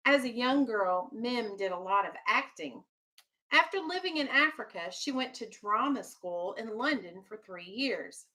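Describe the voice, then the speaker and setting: A woman's voice: on the high side, lively intonation, measured pace, pushed and bright, poor audio. A woman teacher reading aloud to young children, explaining simply, asking questions and firmly keeping them on task. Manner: instructive, simple explanations, checks understanding with questions, firm with children. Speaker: elementary school teacher.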